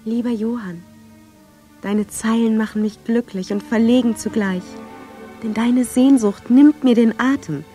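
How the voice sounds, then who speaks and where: A woman playing a tender, lovestruck young violinist of the 1910s, reading her love letters in a measured, yearning radio drama style. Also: a little high, lightly acted. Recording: clear violin music under the voice. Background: violin music.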